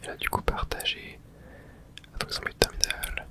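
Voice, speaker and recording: Someone whispering throughout an ASMR let's play, narrating the game and reading its texts aloud in a hush. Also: moderate pace. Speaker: host playing and narrating a game.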